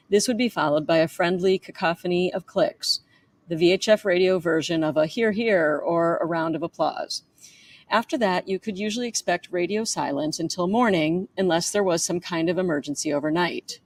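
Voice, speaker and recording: A woman author reading aloud from her own memoir in a narrating style. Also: fairly low pitch.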